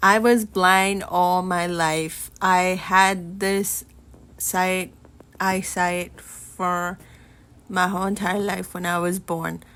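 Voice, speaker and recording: Slow, earnest young woman hosting a podcast. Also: lowish voice, level tone, a bit bright, smooth. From Mexico. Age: 20s. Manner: earnest, unhurried, talks with many pauses and restarts. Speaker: podcast host. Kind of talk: personal storytelling podcast.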